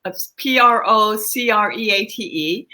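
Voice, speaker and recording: Very bright-voiced mature woman, chatting. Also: medium pitch, unhurried. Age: middle-aged or older. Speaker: guest children's author. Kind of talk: casual interview chat.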